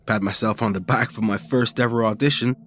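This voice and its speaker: A man narrating a first-person ghost story in an informal, expressive, conversational way, as a podcast reader. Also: fairly low pitch, brisk, a little hiss or hum.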